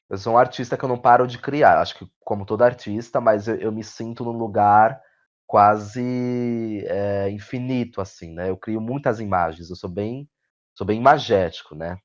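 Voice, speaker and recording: A man's voice: lowish voice, moderate pace. A man with a Brazilian Portuguese accent talking reflectively as an interview guest, often repeating words and adding tag questions. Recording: dull, lo-fi audio.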